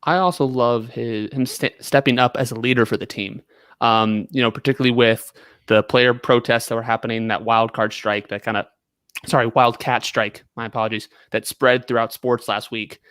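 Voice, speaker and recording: A man's voice: mid-range voice, fairly even intonation, moderate pace, fairly bright tone, smooth, no room sound. A man co-hosting a soccer podcast, speaking earnestly and correcting himself. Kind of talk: casual chat.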